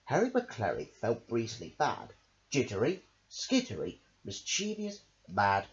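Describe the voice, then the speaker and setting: Animated man reading a children's story. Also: medium pitch, a little slow. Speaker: amateur storybook reader. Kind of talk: rhythmic children's story read-aloud. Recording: dull lo-fi recording, faint noise.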